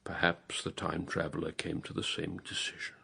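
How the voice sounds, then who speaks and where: An actor playing a tired, pessimistic elderly English writer in a radio drama, husky and measured, with a wry, courteous, literary manner. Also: male voice, deep voice, completely flat delivery, pushed and bright, heavily acted. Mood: grave reflection. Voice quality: husky, gravelly. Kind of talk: radio drama dialogue and reflective monologue.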